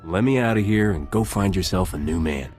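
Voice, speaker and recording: An actor playing a suave, flirtatious smuggler captain in a video game, with a smooth way of talking. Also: male voice, low voice, lively intonation, a little fast, darkish tone, faint music behind. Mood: dry, unimpressed.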